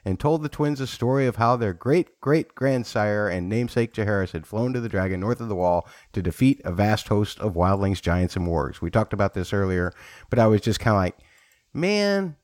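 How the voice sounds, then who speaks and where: Man, 40s, podcast host, lively up-and-down delivery, dry close sound, playful. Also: lowish voice, moderate pace, dry recording. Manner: playful, jokey, repeats words when starting a sentence. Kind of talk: casual book discussion.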